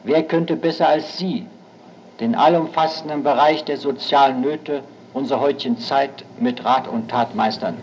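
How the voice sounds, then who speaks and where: An actor playing an earnest male parliamentary delegate of the late 1940s giving a measured speech. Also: medium pitch, muffled recording, slight background noise, lightly acted. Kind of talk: acted speech from historical minutes.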